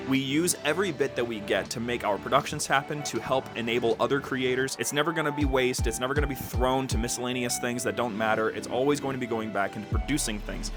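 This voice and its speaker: A male podcast host gives a conversational update to his audience over prominent background music. His voice sits at an average pitch. He sounds enthusiastic and informal, leaning on key words for emphasis. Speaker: podcast host and producer giving a channel overview. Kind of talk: upbeat, conversational channel update to fans.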